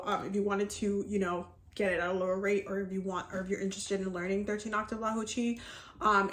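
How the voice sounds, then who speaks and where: A woman from the Dominican Republic talking casually to her viewers as a herbalism and energy healing teacher, chatty and upbeat with lots of fillers and little laughs. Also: medium pitch. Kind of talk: casual video chat to viewers. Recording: echoey room.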